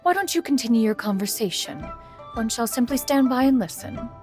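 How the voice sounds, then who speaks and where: A woman's voice: fairly high pitch, animated, brisk, warm tone, close to an everyday voice. A woman playing a lofty ancient immortal. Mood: haughty. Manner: formal, lofty, speaks of herself as "one". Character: ancient, proud female immortal, grand and aloof. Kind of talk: video game voice acting. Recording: game audio with faint music behind.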